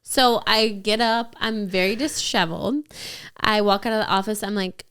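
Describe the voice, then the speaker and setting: A woman's voice: mid-range voice, normal speed, a bit bright, clean tone. A young adult woman co-hosting a podcast, telling a story in a chatty way and saying "like" a lot. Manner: chatty, heavy use of "like". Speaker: podcast co-host. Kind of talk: casual storytelling chat.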